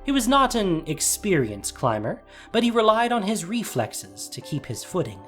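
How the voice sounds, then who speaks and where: Narrator, scripted dramatic storytelling, animated intonation, medium pitch, faint music bed. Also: normal speed.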